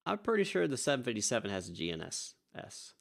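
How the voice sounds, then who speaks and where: A man's voice: medium pitch, huge pitch swings, quick pace, gravelly. A man working as a virtual air traffic controller on a live stream. He chats casually and drifts off mid-thought, then switches to polite radio calls to pilots. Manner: chatty, easily distracted, wanders off mid-thought.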